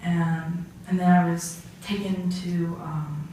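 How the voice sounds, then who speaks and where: In a roomy, distant recording with faint noise, a woman plays a humble man of forty-seven testifying in court. The delivery is low for a woman and halting, with many ums and little melody. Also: level tone, warm tone. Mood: somber, halting. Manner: halting, hesitant, frequent ums and pauses. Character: humble 47-year-old Syrian immigrant man, painting contractor on witness stand. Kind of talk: amateur mock trial testimony. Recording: echoey room, far from mic, faint noise.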